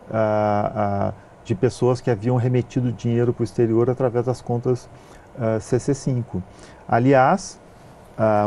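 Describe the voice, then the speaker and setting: A man with a gaúcho accent speaks in a warm, mellow voice at a middle pitch. He answers questions as a guest, explaining at length and pausing often on filler sounds. His delivery is steady and measured. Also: slight background noise. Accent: gaúcho Brazilian Portuguese. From southern Brazil (Rio Grande do Sul). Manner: measured, hesitant with frequent fillers. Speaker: film producer, interview guest.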